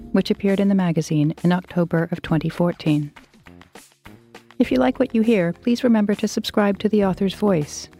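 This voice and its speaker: A woman with a slightly low, soft-edged voice presents a scripted podcast introduction. Faint music plays behind her. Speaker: podcast host.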